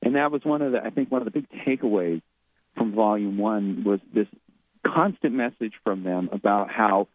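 A man heard through a tinny phone line speaks as a radio guest. His voice sits low and the sound is dry. He talks reflectively and repeats words as he feels his way forward. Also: normal speed, no echo. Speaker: guest, author and medium. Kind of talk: radio interview.

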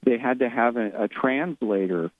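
A man's voice: mid-range voice, normal speed, no room sound. Man speaking over a tinny phone line. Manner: reflective, repeats words as he searches for them. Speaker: guest, author and medium. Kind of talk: radio interview.

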